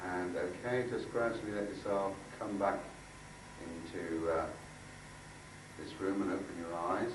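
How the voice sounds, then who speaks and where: A man's voice: sits low, fairly even intonation. Man speaking from afar in echoey room. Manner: halting, conversational, repeats phrases for emphasis. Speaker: workshop speaker and aura healer. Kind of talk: informal talk with demonstration and guided visualisation. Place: indoor room before a group. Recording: echoey room, distant mic, faint noise.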